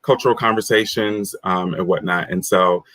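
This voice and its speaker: A man from the United States, a guest author and career coach, answering interview questions in a measured, reflective way. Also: low voice, a bit bright, no room sound.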